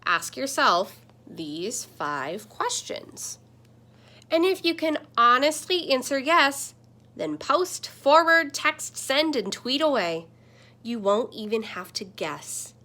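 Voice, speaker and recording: Storybook talking computer screen, read by a woman: bright, unhurried delivery, admonishing mentor, emphatic. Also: high voice, sing-song, full character voice. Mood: earnest advising. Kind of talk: children's picture book read-aloud.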